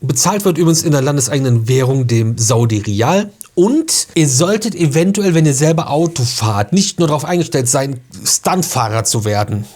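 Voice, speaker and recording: A man's voice: a little high, animated, moderate pace, hardly any pauses, darkish tone. A young man hosting a travel vlog, giving casual, chatty tips in an informal, slangy way.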